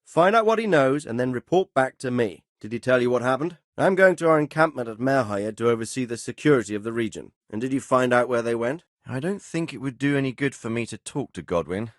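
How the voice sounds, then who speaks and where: An actor playing a middle-aged medieval nobleman commander in a video game, grave, fatherly and authoritative, speaking in a measured, formal way. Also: medium pitch, animated, dry recording, studio quality, close to an everyday voice. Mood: grave, businesslike. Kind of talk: dramatic video game dialogue acting.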